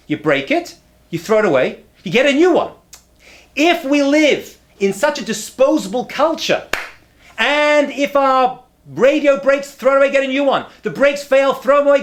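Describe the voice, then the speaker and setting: Animated rabbi lecturing with lively pitch. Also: male voice. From not from America. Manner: lively, punchy repetition, humorous asides. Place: indoor study with bookshelves.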